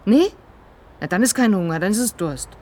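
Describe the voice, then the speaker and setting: An actor playing a brisk, kind-hearted, streetwise woman who runs a snack stand, chatty and plain-spoken, in radio drama style. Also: medium pitch, lively intonation, a little mellow, close to an everyday voice. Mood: caring, chatty. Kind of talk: German radio crime drama acting.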